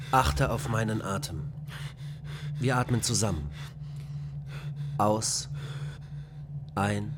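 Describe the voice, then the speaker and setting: A man playing a male onboard computer in a radio drama, with a calm, clipped, commanding delivery. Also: lowish voice. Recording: noisy radio play recording.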